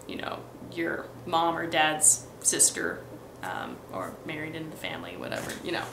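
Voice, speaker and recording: A woman's voice: low-pitched, sing-song, moderate pace, gravelly, reverberant, background noise. A young woman from Texas and Missouri with a light Midwestern accent, chatting casually to a webcam in her bedroom, full of ums and restarts. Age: young adult. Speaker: vlogger. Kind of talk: casual accent tag vlog. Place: bedroom, indoors.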